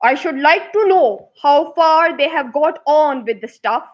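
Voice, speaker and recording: A woman's voice: high-pitched, lively intonation, measured pace. A woman voicing a vain, self-assured emperor in a children's fairy tale. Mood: musing.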